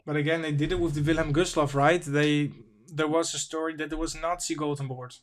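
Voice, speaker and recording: A young man whose first language is not English, hosting a casual livestream and talking through the topic while reading articles aloud in a halting, self-correcting way. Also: fairly high pitch, fairly even intonation. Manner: halting, self-correcting, reads slowly and stumbles. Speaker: livestream host.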